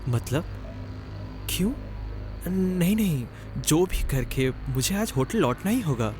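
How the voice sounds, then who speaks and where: A man's voice: on the high side, lively intonation, slow, music in the background. A man playing an anxious, polite young traveller in a cartoon horror story, hesitant and questioning. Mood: puzzled. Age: young adult. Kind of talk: acted Hindi cartoon horror dialogue.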